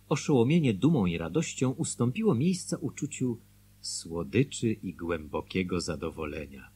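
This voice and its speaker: A man playing a measured storyteller, narrating a scripted radio drama in a husky voice. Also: deep voice, darkish tone, exaggerated voice. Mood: calm.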